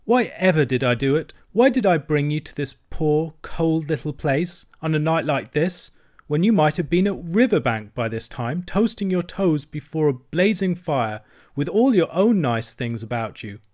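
A downcast little mole, moaning and self-pitying, his voice pitched up high and wavering, as a man reading a storybook puts him on. He sounds gloomy and forlorn. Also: sing-song, fairly quick, hardly any pauses, slightly rough. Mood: dismal. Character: gloomy homesick male mole.

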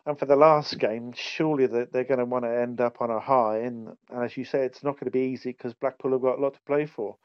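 A man with a flat, even delivery and a smooth, clear voice gives his view as a podcast guest, pausing on "um"s. His shows, and his audio sounds dry and a little dull. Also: mid-range voice, no echo. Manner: measured, level, filled with "um"s. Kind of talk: casual football podcast chat.

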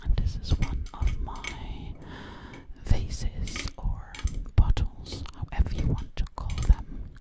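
A woman's voice: takes it slowly, lightly acted. A woman playing a sly, boastful witch in an ASMR roleplay, speaking breathily and close up, repeating words hypnotically. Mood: soothing, possessive. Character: sly, boastful female witch who takes people as coats. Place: small indoor room at a table. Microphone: close to the mic.

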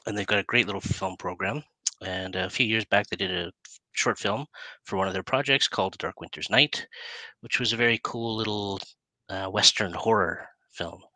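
A man's voice: on the low side, moderate pace, sharp tone, no room sound, poor audio. A middle-aged man chatting casually as an interview host in a rambling, self-interrupting way. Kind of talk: casual chat. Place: indoor room, video call. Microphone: headset.

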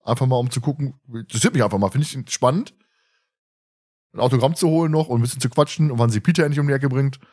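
A man's voice: mid-range voice, quick pace, a little mellow. A man hosting a podcast, chatting casually in a playful, teasing, jokey way. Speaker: podcast host.

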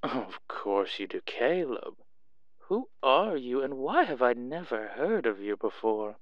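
A man's voice: medium pitch, sing-song, measured pace, no room sound, highs cut off. A man playing a suave, flirtatious underworld club owner in an audio roleplay, purring and teasing as he talks. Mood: flirtatious, amused.

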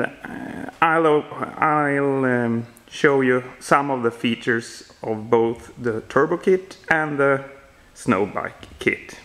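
Man, thirties, Swedish accent, non-native speaker, slow delivery, halting phrasing, informal explainer. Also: mid-range voice, a bit bright. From Sweden. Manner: halting, searching for words. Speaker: home builder presenting his project. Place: indoor workshop garage.

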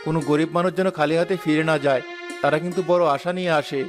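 Man playing kind villager, quick speech. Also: a little high, fairly even intonation, close to an everyday voice. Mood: kindly, instructing. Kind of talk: Bengali moral cartoon dialogue. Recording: music behind the voice.

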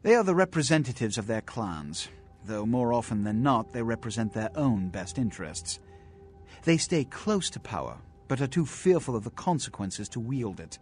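An actor playing a haughty, impatient vampire leader in a video game, with ornate, condescending phrasing. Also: male voice, medium pitch, sing-song, normal speed, a little mellow, natural voice. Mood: haughty, weary. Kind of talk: scripted video game acting. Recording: music behind.

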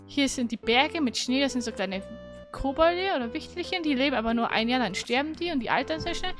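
A woman's voice: high-pitched, expressive, fairly quick. A woman co-hosting a gaming livestream in casual talk, chatty and informal. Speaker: gaming stream co-host. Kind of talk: casual livestream chat and game recap. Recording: music playing behind the voice. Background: game music.

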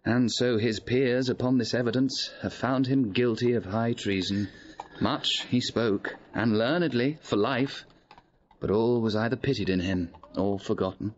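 An actor playing a grave gentleman recounting court news in measured Shakespearean drama style. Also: medium pitch, dull audio, a little hiss or hum, natural voice. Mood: grave, informative. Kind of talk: Shakespearean radio drama acting.

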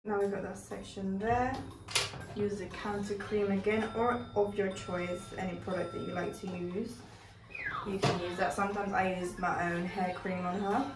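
A woman's voice: mid-range voice, fairly even intonation, normal speed, recorded from a distance, very noisy. A young woman chatting through a hair tutorial on her toddler, explaining each step and correcting herself as she goes. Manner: chatty, instructive, self-correcting. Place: living room with Christmas decor. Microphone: far camera. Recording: echoey room audio with background music.

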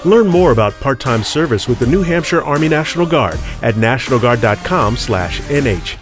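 A man narrating a scripted recruitment ad in an earnest, measured way. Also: medium pitch, lively intonation, soft background music. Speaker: ad voice-over.

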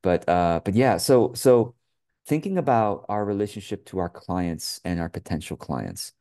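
A middle-aged man from Taiwan hosting an interview over a video call, asking questions in a halting way with many restarts. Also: sits low, animated, mellow. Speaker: host, business coach. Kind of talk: conversational interview. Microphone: webcam on a video call.

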